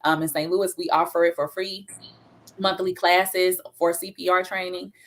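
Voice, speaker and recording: A woman's voice: lowish voice, level tone, normal speed, a bit bright. A woman speaking as a guest on a talk show, introducing herself in a businesslike way with frequent fillers.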